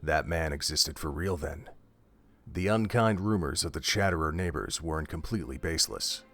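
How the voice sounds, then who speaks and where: A man narrating a scripted story in a measured, steady way. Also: a bass voice. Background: faint music.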